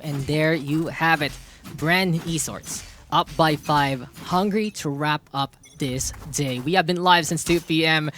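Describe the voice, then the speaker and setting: A man with a Filipino accent doing live, animated esports play-by-play, switching between English and Tagalog. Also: on the high side, a little hiss or hum. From Philippines. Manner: animated, rising with the action.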